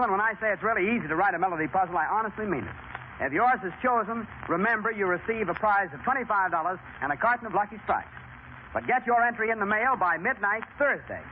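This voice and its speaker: A man hosting a 1930s live radio quiz show in a chatty, folksy, courteous manner. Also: sits high. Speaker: radio game show host. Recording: scratchy, noisy, phone-like 1930s radio broadcast.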